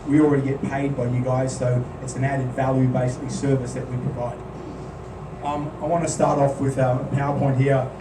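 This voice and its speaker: A man's voice: mid-range voice, brisk. A man presenting a project at a club meeting, speaking plainly and steadily with many "um"s. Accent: non-local accent. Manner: plain, steady, filler-heavy delivery. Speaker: maintenance contractor presenting. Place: meeting room at a golf club. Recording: roomy, distant mic, background hum.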